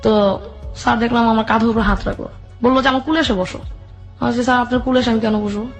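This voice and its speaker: A woman interview guest tells a personal story at a quick clip. Her narration runs on, acting out each side of remembered conversations. Soft music plays behind her voice. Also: on the high side, fairly even intonation. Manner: run-on narration, quotes both sides of conversations. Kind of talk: personal storytelling with re-enacted dialogue. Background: background music.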